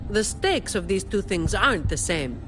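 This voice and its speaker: An actress playing a composed, world-weary professional fighter with a dry, measured delivery in a video game. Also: female voice, mid-range voice, big pitch swings, noisy background, natural voice. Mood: resolute, weary.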